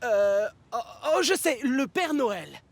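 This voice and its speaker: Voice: mid-range voice, big pitch swings, slow, vocal fry, full character voice. An actor voicing a sweet, naive young male tank engine in a children's cartoon, childlike and hesitant. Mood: delighted.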